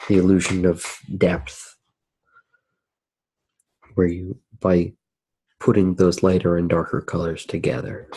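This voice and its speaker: Male ASMR artist, very low and husky, soft-spoken, thinking aloud as he demonstrates drawing. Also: flat delivery, slow pace, long pauses, no echo, low-quality recording. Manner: soft-spoken, musing, hesitant.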